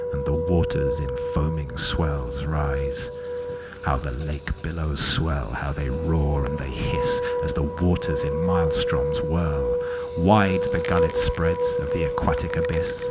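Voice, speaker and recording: Man, low-pitched, slow, hushed theatrical poetry narration, prominent music. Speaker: actor reciting poetry. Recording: loud music under the voice.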